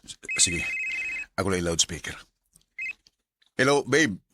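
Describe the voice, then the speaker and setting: A man's voice: deep voice, expressive, slow pace, very noisy. A man playing an insistent, earnest young lover in a Bisaya radio drama, with a Cebuano Filipino accent. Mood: tense. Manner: earnest, pressing. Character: Vince, insistent young male lover with secret affair.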